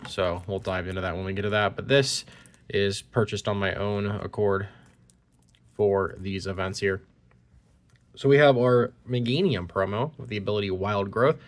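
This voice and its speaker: A man's voice: lowish voice, normal speed. A man talking casually while opening trading cards, slightly congested from a cold, reading card text aloud, recorded on a phone. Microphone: phone recording at point-of-view angle.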